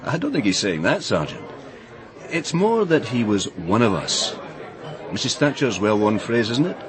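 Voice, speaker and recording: Casting: man as smoothly dismissive club pal, radio drama, lively intonation, crowd behind. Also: mid-range voice, normal speed, lightly acted. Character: genial, evasive club crony, smoothly dismissive. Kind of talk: naturalistic radio crime drama acting.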